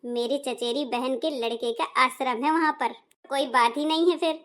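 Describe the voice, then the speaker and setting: A woman's voice: sits high, steady tone, moderate pace, fairly bright tone. A woman voicing a kind, agreeable elderly mother-in-law in a cartoon, speaking in a homely way. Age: older. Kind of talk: cartoon voice acting.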